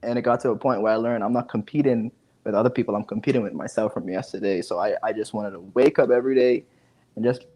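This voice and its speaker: A man's voice: fairly low pitch, fast, a little mellow. A man, chatting casually as an interview guest on a video call from home, often repeating words as he goes. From the Bahamas. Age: 20s. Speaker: guest, digital marketing specialist. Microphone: earphone headset.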